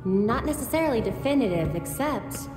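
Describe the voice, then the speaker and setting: An actress playing a capable, matter-of-fact young vigilante investigator in a video game cutscene. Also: female voice, on the high side, expressive, moderate pace, natural voice. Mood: focused. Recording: echoey hall with music behind.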